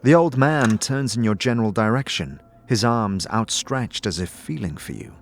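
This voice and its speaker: A man narrating a scripted fantasy story in a measured, dramatic way, addressing the listener as "you". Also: fairly low pitch, lively intonation, soft background music.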